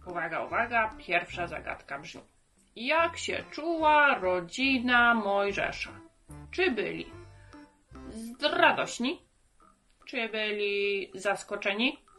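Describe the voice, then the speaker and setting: A woman's voice: mid-range voice, animated, takes it slowly, bright, forceful tone, soft background music. A woman voicing a chatty male firefighter puppet who asks children playful, emphatic questions. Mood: playful quizzing.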